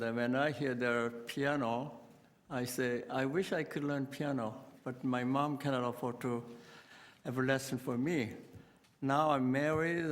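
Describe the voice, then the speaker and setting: An older man, a city councillor giving a halting, earnest speech full of fillers and restarts at a committee meeting. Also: mid-range voice, in a large hall. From South Korea. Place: council chamber.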